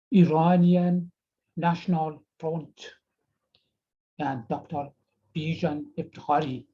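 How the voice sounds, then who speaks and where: Slow, halting older man with Persian accent. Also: fairly high pitch, mellow. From Iran. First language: Farsi. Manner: slow, halting and earnest, with frequent pauses and repeated words. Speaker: TV presenter. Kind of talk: personal address to viewers. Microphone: video call. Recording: slightly dull video call audio.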